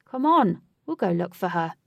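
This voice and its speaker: A woman's voice: lowish voice, big pitch swings, moderate pace, gravelly, fairly bright tone, no echo, studio quality, distant mic, full character voice. A woman voicing an arrogant, entitled young male athlete from a storybook, with an insistent, snapping manner. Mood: pushy, insistent.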